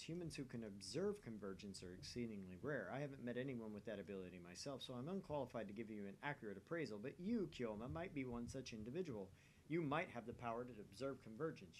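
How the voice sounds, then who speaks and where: A solemn time traveler from a dark future, read by a man, explaining things formally and earnestly. The delivery is portentous, with lively movement in pitch. Faint noise sits behind the visual novel reading. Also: lightly acted, low-quality recording.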